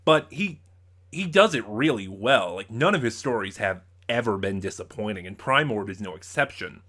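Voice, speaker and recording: A man's voice: on the low side, expressive, moderate pace, a bit bright. A man hosting a casual, unscripted review, talking in a rambling way with many asides and self-corrections. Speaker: host of a review channel.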